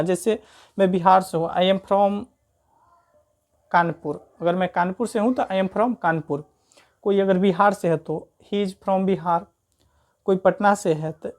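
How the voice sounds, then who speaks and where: Indian female grammar tutor, medium pitch, soft-edged mellow tone, informal, repeats points step by step. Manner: repetitive step-by-step explaining, frequent check-in tags. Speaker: English grammar teacher. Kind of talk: informal explanatory grammar lesson.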